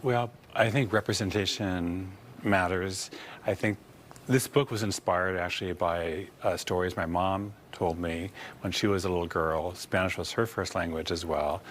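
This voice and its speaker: An earnest, measured man explaining things as an author being interviewed. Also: low voice, steady tone, fairly bright tone, slight background noise. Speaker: children's book author, interview guest.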